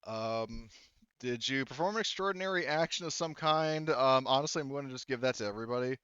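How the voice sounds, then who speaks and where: A man with a clean, resonant voice at a middle pitch debriefs a tabletop session as game master. He is informal and rambling, with casual swearing. The audio is close and dry but a little muffled. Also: a little fast, a bit bright, clean tone, no room sound.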